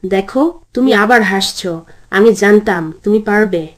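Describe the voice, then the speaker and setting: A woman voicing a brave, kind little boy in a cartoon story, speaking earnestly and gently. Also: mid-range voice, unhurried, soft background music, natural voice. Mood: gentle, kind.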